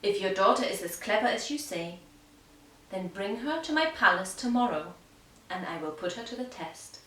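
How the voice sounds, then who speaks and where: A woman's voice: mid-range voice, lively intonation, normal speed, fairly bright tone, lightly acted. A woman voicing a stern, commanding king in a children's puppet-show tale, imperious and formal. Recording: roomy, distant mic, faint noise.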